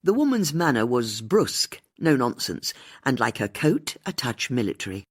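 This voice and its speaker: Husky, expressive woman narrating a mystery. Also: very deep voice, normal speed. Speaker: audiobook narrator.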